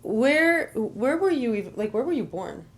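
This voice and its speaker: A woman from Israel hosting a podcast, speaking casually and warmly with lots of fillers. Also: fairly high pitch, sing-song, normal speed. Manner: warm, rambling, lots of "like" and "you know". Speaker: podcast host. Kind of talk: casual conversational interview.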